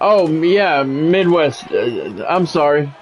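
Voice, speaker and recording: A man's voice: a little high, sing-song, slow, a little hiss or hum, poor audio. A man livestreaming a video game, giving casual commentary and chatting with viewers in a repetitive, explanatory way.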